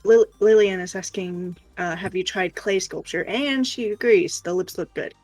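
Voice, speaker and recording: A woman chatting casually as a stream co-host, halting and repeating words as she goes. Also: mid-range voice, a little mellow, dry recording, soft background music, poor audio. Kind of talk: casual chat.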